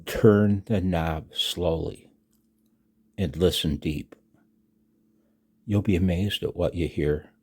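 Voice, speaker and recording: An American man in his sixties reading his own announcement aloud, emphatically and with dramatic pauses, as a radio hobby vlogger. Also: low voice, a little mellow, husky. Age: 60s.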